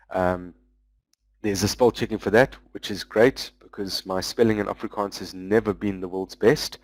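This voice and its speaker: Male presenter, low register, dry close mic, loose explanatory delivery over slides. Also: no room sound, poor audio. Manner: explanatory, walking through product features; loose, with frequent "um" pauses. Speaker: business development manager presenting a webinar.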